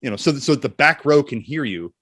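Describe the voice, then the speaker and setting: A man speaking as an interview guest and voice actor, telling stories in an expressive, jokey, self-deprecating way. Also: mid-range voice, brisk, dry recording, studio quality. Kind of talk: animated interview storytelling.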